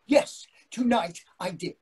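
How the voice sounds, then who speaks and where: A man's voice: sits high, takes it slowly, darkish tone. An older man playing an irritable, impatient husband on vacation in a comedy play reading, curt and defensive. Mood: exasperated. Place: indoors on a video call. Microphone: video call microphone.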